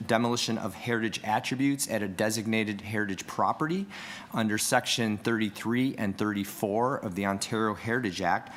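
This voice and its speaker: A man from heritage planning staff presenting a report at a city board meeting, reading prepared remarks in a measured way. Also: on the low side. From Canada. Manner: measured, reads prepared remarks, asks for next slide. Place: council meeting room.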